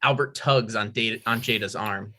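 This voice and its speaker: A man's voice: lowish voice, moderate pace, background noise. A man narrating stage directions, reading a screenplay aloud at a table read.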